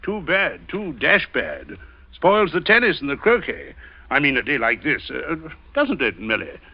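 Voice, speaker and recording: An actor playing a bluff, easily rattled English captain in an old radio suspense drama, stammering in upper-class British speech. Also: male voice, a little high, wildly swooping pitch, a little slow, gravelly, heavily acted. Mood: awkward small talk. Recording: dull vintage radio recording.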